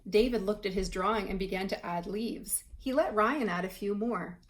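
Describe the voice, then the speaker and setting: A woman's voice: medium pitch, normal speed. A woman reading aloud and explaining things to children in a conversational way, like a teacher. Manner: conversational, asks the listener questions while reading.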